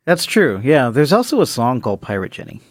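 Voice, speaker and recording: A man's voice: medium pitch, very animated, a little fast, dry recording, clean studio sound. A man co-hosting a podcast, giving casual, emphatic opinions about a comic book. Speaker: podcast co-host.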